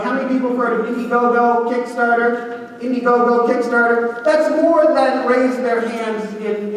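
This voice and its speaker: Loud, confident man speaking in an echoey hall. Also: sits high, a little hiss or hum. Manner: confident, boastful, jokey lecturing. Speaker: guest speaker, economist. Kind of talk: banquet keynote speech. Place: large banquet hall. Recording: echoey hall, mic from far off.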